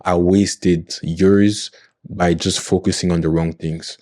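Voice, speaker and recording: Deep, earnest young man. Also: level tone, takes it slowly, warm tone, no echo, studio quality. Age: 20s. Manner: earnest, motivational, often checks in with "you know what I mean". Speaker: podcast guest. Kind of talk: casual podcast conversation.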